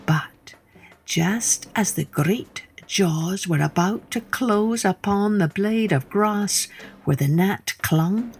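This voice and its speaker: A woman telling a children's story in a theatrical way, with hushed moments and questions put to the listener. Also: mid-range voice, takes it slowly, husky, faint music behind. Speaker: storyteller, podcast host. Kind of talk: dramatic children's storytelling narration.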